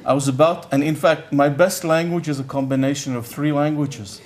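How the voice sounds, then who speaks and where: A man's voice: a little high, steady tone, normal speed, slight background noise. An older man, a professor whose first language is Yiddish, giving a conference lecture in a conversational, blunt way, often stopping to search for words and repeating himself.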